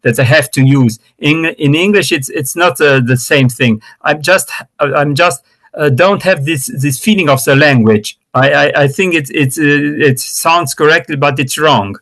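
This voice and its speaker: A man's voice: fairly high pitch, unhurried, a bit bright. A man whose first language is Romanian, practicing English in an online lesson. He speaks hesitantly, with many restarts and fillers. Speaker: student. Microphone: video call.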